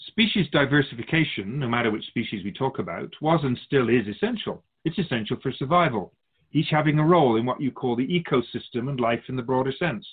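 An actor playing an otherworldly keeper of souls in a radio drama, patiently teaching humans in a measured, all-knowing way. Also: male voice, medium pitch, expressive, breathy edge. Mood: earnest, instructive. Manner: didactic, measured explaining, speaks of humans as outsider. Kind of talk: scripted radio drama dialogue. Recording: dull phone-like narrow sound.

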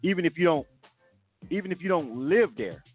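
A male radio host talks fast and with energy, throwing in slang and circling back on his words. His voice sits a little high, and it comes through thin and narrow. Soft music plays under him. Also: slightly rough. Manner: energetic, slangy, repeats himself. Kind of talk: casual hip hop radio interview. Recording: lo-fi narrow sound with music behind.

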